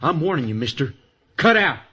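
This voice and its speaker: An actor playing a jealous, possessive cowboy who blusters and threatens in an old radio western. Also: male voice, on the high side, very animated, fairly quick, sharp tone. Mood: angry, threatening. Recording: dull, noisy old radio recording.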